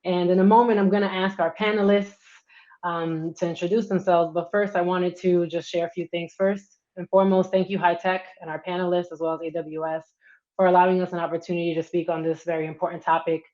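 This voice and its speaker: Female panel moderator, low voice, measured with ums, dull lo-fi call audio. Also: level tone. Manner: warm, measured, with frequent ums and restarts.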